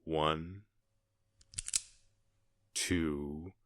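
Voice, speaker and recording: A man's voice: extremely low pitch, steady tone, a bit bright, no echo, studio quality, full character voice. A man playing a menacing gang boss and gunslinger kidnapper in an ASMR roleplay, smug and taunting as he draws out his words. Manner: smug, taunting, drawn-out storytelling.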